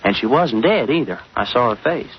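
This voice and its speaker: An actor playing a brash, slangy, eager tough-guy sidekick with a husky voice in 1940s radio drama style. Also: medium pitch, lively intonation, moderate pace. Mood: excited storytelling. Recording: muffled old radio recording.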